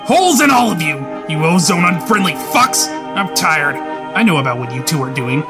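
Brooding, self-pitying young male killer, acted by a man, higher-pitched, intense comic-dub monologues over loud music. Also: very animated, normal speed. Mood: weary, bitter. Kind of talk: dramatic comic-book dub. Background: music under the voice.

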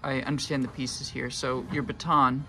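A man's voice: mid-range voice, little pitch movement, normal speed, sharp tone, soft background music. A man in an instructor's role, asking inquisitive conversational questions and checking his understanding step by step. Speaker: instructor interviewing students. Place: electronics lab, indoors.

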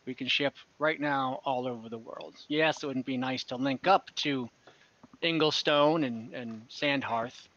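A man's voice: mid-range voice, unhurried, a bit bright, close to an everyday voice. Man voicing a chatty port merchant. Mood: genial. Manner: chatty, easygoing, talks shop. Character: friendly, businesslike male merchant who owns a port. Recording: dull lo-fi video call audio.

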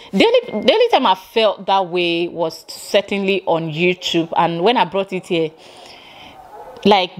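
Woman, Nigerian accent, 40s, reaction vlogger, expressive, indoor, close camera. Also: mid-range voice. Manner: animated, exclaiming, rhetorical questions. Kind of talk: casual reaction commentary. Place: indoor living room.

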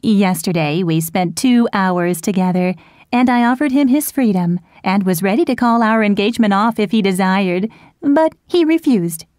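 A woman's voice: mid-range voice, expressive, normal speed, a little mellow, no room sound, clean studio sound, lightly acted. A woman reading the letter of a sugary, scheming young woman in a sweetly formal manner.

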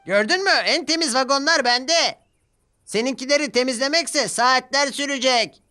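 A man's voice: extremely high pitch, normal speed, sharp tone, clear, resonant tone, voice pushed up. A man voicing a vain, boastful male steam engine in a cartoon dub, with a smug, taunting manner. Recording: music playing behind.

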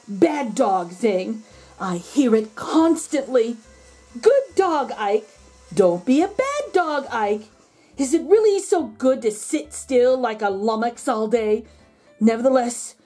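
A woman's voice: fairly high pitch, mellow, breathy edge, full character voice. A woman voicing an indignant, self-pitying pet dog in a children's storybook, pleading with dramatic emphasis. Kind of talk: children's storybook read-aloud.

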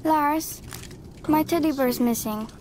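A sweet little girl in a video game, a worried younger sister. The voice is high and childlike, with some background ambience behind it. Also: slow, a little mellow, a little hiss or hum. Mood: sad, worried. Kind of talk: video game character dialogue.

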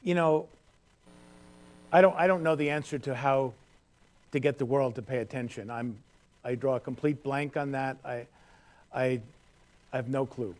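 A man's voice: medium pitch, moderate pace, long pauses, a little mellow. A man speaking as a panelist, candid and hesitant, restarting his sentences. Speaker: panelist, journalist. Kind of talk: panel discussion answer. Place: stage at a law school panel table.

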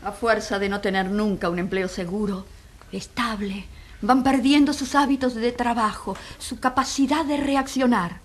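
Female courtroom advocate, acted by a woman: impassioned, rhetorical, slightly high voice, radio drama. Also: fairly even intonation, a little hiss or hum, lightly acted. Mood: impassioned, earnest. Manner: rhetorical, emphatic, deliberate questioning. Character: female advocate arguing before a jury, earnest and impassioned.

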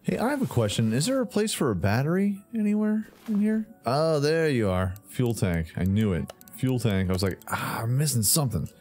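A man's voice: mid-range voice, big pitch swings, normal speed, hardly any pauses, darkish tone. A man hosting a gaming channel, giving playful commentary while fixing up a hot rod, breaking into silly sound effects and bits of song. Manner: goofy, sings and makes silly sound effects. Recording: faint game music behind.

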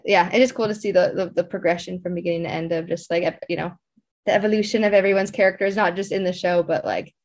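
A woman's voice: fairly low pitch, fairly even intonation, fast, a little mellow. Chatty woman in casual interview. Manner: effusive, peppers speech with like and you know. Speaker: voice actress interview guest.

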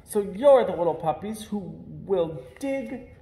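A stern mother dog from a picture book, played by a man reading aloud. The voice rises high and lands hard on its words as it scolds. There is a roomy echo around it. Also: expressive, measured pace, warm tone. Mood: displeased.

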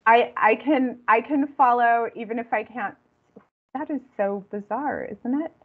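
A woman's voice: fairly high pitch, a little slow. Woman lecturer on a dull video call. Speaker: guest lecturer and author. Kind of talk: informal academic online talk. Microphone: computer video call.

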